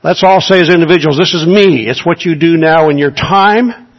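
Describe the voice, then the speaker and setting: A man preaches with earnest urgency, pressing his listeners with rhetorical questions. His voice sits a little high and has a husky edge. The recording sounds dull and muffled. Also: normal speed. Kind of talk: sermon.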